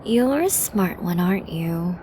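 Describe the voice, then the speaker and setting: A woman's voice: on the low side, expressive, measured pace, mellow, a little hiss or hum. A woman playing a flirty, dominant villain who taunts a hostage in an ASMR roleplay, teasing and menacing, often dropping toward a whisper. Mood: commanding, teasing. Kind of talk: ASMR roleplay audio drama.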